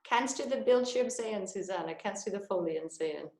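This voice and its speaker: A woman's voice: medium pitch, normal speed, a little mellow, reverberant. A woman from Texas with an American accent, a native English speaker presenting a live business webinar in imperfect German, slipping into English phrases with enthusiasm. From Texas, USA. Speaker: business coach. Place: her home, indoor.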